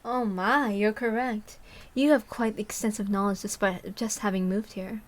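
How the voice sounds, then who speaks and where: A woman's voice: mid-range voice, fairly even intonation, normal speed, natural voice. A woman voicing a sly, refined female clinic worker who teases in a genteel, cryptic way. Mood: teasing, refined.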